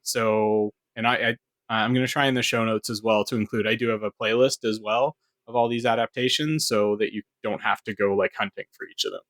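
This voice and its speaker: A man's voice: lowish voice, fairly even intonation, fairly quick, a bit bright, no echo. Man casually explaining on a dry-sounding podcast. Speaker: podcast co-host and producer.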